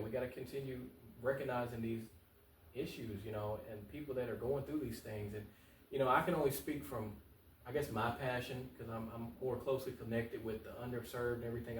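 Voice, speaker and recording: Fast-talking man, echoey room. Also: medium pitch, darkish tone, slight background noise. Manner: passionate, informal, repeats phrases for emphasis. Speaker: interview guest, local political candidate. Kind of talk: casual, earnest talk-show interview answers. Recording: roomy echo, distant mic.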